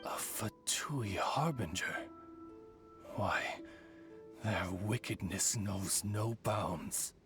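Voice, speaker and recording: A man's voice: on the low side, extremely slow, over music. A man voicing a refined male raven familiar in an anime game, stately and formal in his wording. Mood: gravely shocked.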